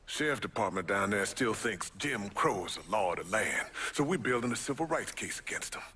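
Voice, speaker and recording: A middle-aged American activist leader in a game drama. His voice is low and forceful, grave and burdened, over faint noise. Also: expressive, a little fast. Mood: grim, angry. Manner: grave, emphatic, profane. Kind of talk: video game cutscene acting.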